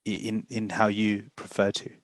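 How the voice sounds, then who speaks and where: Young guy tutor on a headset call, dry close sound, kinda halting. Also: on the low side, completely flat delivery, no room sound. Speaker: course tutor. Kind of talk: answering a question on a webinar. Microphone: headset on a video call.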